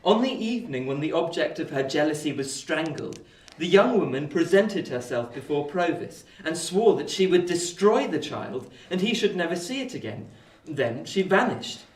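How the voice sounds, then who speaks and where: Kindly young gentleman friend, animated intonation, gentle and solicitous, radio play, echoey room. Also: on the high side, normal speed. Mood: concerned, tender. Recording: roomy, distant-microphone recording.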